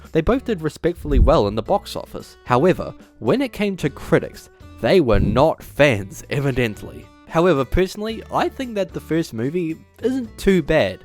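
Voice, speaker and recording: A man's voice: medium pitch, no echo. A man giving scripted, opinionated commentary in a conversational, emphatic way. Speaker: YouTube video essayist, host. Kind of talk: scripted commentary on video game movies.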